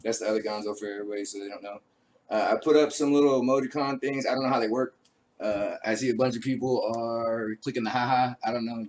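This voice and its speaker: Raspy-voiced veteran host, laid-back and rambling. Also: male voice, mid-range voice, steady tone, fast talker, a little mellow. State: raspy from a cold. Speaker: show host; army veteran. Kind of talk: casual live-stream talk.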